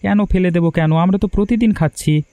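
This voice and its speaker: High-voiced mild village farmer, played by a man. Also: fairly quick, dark tone, no room sound. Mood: concerned, puzzled. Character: mild, hardworking elder brother and village farmer. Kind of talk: cartoon dialogue acting. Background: background music.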